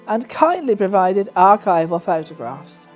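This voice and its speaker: Woman, mellow tone, scripted documentary narration, music behind. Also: mid-range voice, big pitch swings, a little slow.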